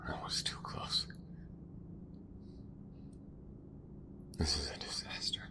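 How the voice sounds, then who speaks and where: A man playing a defiant but protective alien smuggler in an audio roleplay, with rough, urgent, sweary delivery. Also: fairly quick, whispered, natural voice. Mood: tender, shaken.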